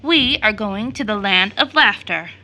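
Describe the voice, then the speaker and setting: A woman's voice: mid-range voice, animated, measured pace, pushed and bright, full character voice. A woman storyteller voicing solemn, polite little boys in a fairy tale, grave and earnest.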